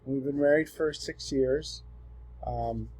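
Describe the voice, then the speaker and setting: Mellow, soft-edged young man from Southern California. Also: mid-range voice, a little slow, husky, rough recording. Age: 20s. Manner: relaxed and earnest, with frequent ums and restarts. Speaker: student presenting himself. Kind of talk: casual self-introduction for a class assignment.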